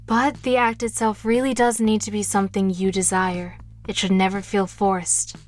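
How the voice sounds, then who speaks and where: An actress playing a confident, tender witch who mentors with soothing, measured words in an audio drama. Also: mid-range voice, level tone, close to an everyday voice. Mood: seductive, impassioned. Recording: music playing behind. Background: music.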